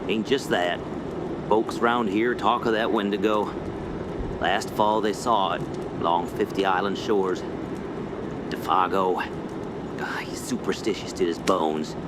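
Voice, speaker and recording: An actor playing a rough, superstitious backwoods hunting guide in a radio horror play, folksy, blunt and foreboding. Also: male voice, sits low, a little slow, long pauses. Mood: regretful, fatalistic. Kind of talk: radio horror drama acting. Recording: loud steady outdoor background noise.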